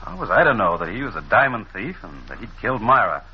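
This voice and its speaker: An actor playing a smooth-talking, theatrical gentleman smuggler in a muffled 1940s radio drama. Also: fairly low pitch, very animated, quick pace. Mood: anguished, apologetic. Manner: theatrical, effusive. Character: smooth-talking gentleman smuggler, effusive, defensive when cornered. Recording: muffled, faintly noisy old radio recording.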